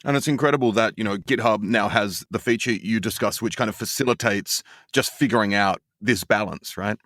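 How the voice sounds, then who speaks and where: A man's voice: fairly low pitch, a little fast, fairly bright tone, dry recording. Podcast host man, close and dry. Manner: conversational, prompting questions. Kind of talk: interview hosting.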